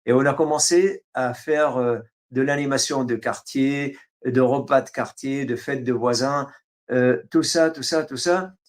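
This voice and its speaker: A middle-aged man with an Arabic accent, a native Arabic speaker from Syria, telling his life story as an interview guest, halting, with many fillers and restarts. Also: medium pitch. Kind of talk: autobiographical interview.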